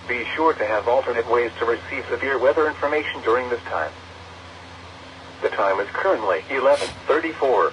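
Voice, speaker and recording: A synthetic male text-to-speech voice reading scripted weather announcements over the radio in a formal, measured, segmented way. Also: mid-range voice, fairly bright tone, lo-fi sound. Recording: noisy weather radio picked up by microphone.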